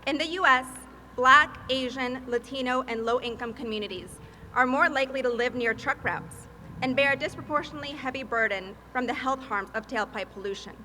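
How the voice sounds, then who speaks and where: Woman from Peru at a podium, bright, slightly high voice, faint hiss behind. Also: fairly quick, poor audio. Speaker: advocacy field manager and mother. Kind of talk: prepared speech at a press event.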